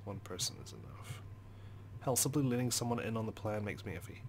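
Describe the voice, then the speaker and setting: A man's voice: lowish voice, sing-song, brisk, soft background music. A man hosting a let's play, reading a visual novel's story aloud as dramatic, brooding narration.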